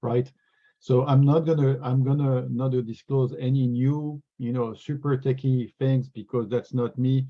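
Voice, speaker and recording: A man's voice: medium pitch, steady tone, a little slow, highs cut off. A man from France with a French accent, an executive answering interview questions earnestly and often repeating words as he thinks, heard over a video call. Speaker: regional sales executive.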